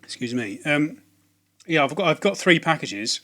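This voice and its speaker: A slightly hoarse man, talking casually to camera about video game purchases, hesitant with many ums and restarts. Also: on the high side, expressive, normal speed, noisy background. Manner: hesitant, lots of ums and restarts, wry asides. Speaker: video game collecting vlogger. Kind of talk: casual rambling vlog to camera.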